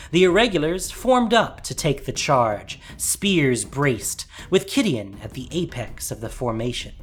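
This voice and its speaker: A narrator reading a scripted dramatic fantasy story, with music behind. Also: mid-range voice.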